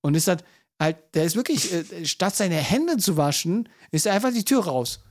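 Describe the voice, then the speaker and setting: A man's voice: sits high, expressive, normal speed. A man talking casually as a podcast co-host, telling anecdotes in a slangy, repetitive way. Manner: slangy, colloquial, repeats himself.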